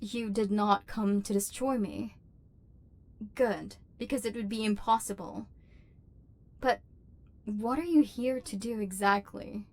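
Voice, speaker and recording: A woman's voice: medium pitch, takes it slowly, long pauses. A woman voicing an imperious robot overlord who despises humans, speaking in formal, machine-like phrases in an ASMR roleplay. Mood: guarded, dismissive. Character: haughty, human-hating female robot overlord, secretly lonely. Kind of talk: ASMR roleplay, dramatic villain monologue.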